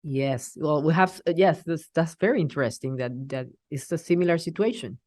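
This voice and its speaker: A woman from Rico speaks English, in a low, dry voice. She is a middle-aged TV journalist, lively and halting as she restarts her sentences in a casual interview. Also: no echo. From Puerto Rico. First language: Spanish. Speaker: TV journalist guest.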